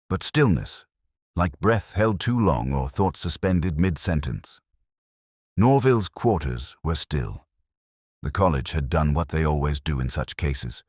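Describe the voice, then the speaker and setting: A man narrating a first-person detective story in a measured, brooding, literary way. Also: sits low, dry recording, clean studio sound.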